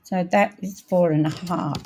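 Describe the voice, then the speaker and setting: Woman, croaky voice, very slow pace, dark mellow tone, casual craft host, thinking aloud. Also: lowish voice, steady tone, a little hiss or hum. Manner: unhurried, thinking aloud while working. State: croaky, coughing and throat-clearing. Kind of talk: casual live crafting demonstration.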